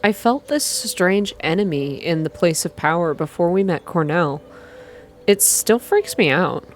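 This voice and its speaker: A woman's voice: on the low side, lively intonation, measured pace, slight background noise. A woman voicing a curious, unsettled young female heroine of a visual novel, hesitant and questioning. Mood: troubled.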